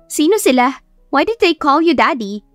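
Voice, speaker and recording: Lady doing snooty teasing sister in an audiobook, highish voice, soft music behind. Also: sing-song, moderate pace, no room sound. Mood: haughty, displeased. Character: haughty, teasing adult sister of a businessman. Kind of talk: dramatized audiobook dialogue.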